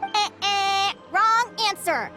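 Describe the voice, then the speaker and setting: A woman's voice: very high-pitched, slow, over loud music. A woman voicing a cheery, encouraging young female advisor who lectures like a teacher in a video game. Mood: playful, encouraging. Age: young adult. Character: bright, upbeat young woman advisor teaching survival tips.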